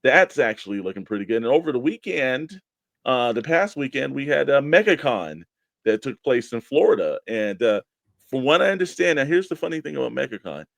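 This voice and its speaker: An American man speaks as a co-host in casual radio-show chat. His delivery is informal and emphatic, with a middling pitch and a dry sound free of room reverb. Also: sing-song, a little fast, gravelly. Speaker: co-host of a toy collecting show.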